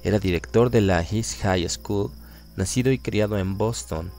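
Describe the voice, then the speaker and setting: Low voiced latin american guy reading a spooky story, slow and serious, crickets chirping behind. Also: darkish tone, faint music behind. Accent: Latin American Spanish. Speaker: audiobook narrator. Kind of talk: scripted literary narration.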